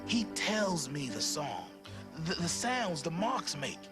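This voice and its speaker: Music plays clearly behind a man reading a storybook aloud. His delivery is slow and dramatic, with lively swings in a slightly high voice. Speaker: story narrator. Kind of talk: expressive storybook read-aloud narration.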